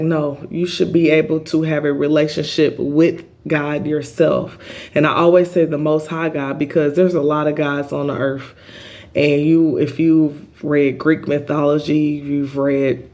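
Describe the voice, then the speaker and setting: A middle-aged man speaks earnestly about spiritual healing to his channel's viewers, pausing often on filler ums. His voice is big and pitched a little high for a man, with an even, level tone that barely rises or falls. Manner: earnest, halting, many um fillers. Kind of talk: spiritual healing vlog monologue.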